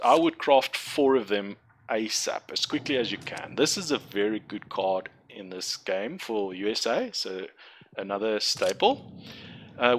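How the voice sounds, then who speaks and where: A man's voice: medium pitch, fairly bright tone, a little hiss or hum. A young man whose first language is Afrikaans, rambling and correcting himself as he walks through building a card game deck. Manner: rambling, self-correcting, chatty explainer. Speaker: gaming content creator. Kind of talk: casual game tutorial commentary.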